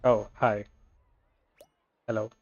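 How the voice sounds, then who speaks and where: A man giving casual, low-key playthrough commentary in short reactions, with a dull, muffled sound. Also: flat delivery, takes it slowly. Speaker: game streamer.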